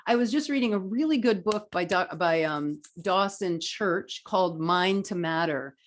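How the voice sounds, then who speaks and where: A middle-aged woman teaching a live online class, lecturing earnestly and emphatically, then reading viewer comments in a softer voice. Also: lowish voice, normal speed. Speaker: spiritual teacher running a live online training.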